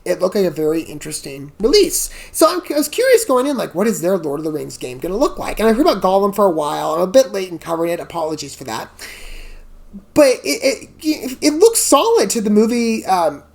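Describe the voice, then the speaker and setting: A woman's voice: mid-range voice, sing-song, fairly quick, vocal fry. A woman hosting a reaction channel, giving casual, rambling commentary in a chatty, digressive way with apologetic asides. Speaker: host of a video game trailer reaction channel.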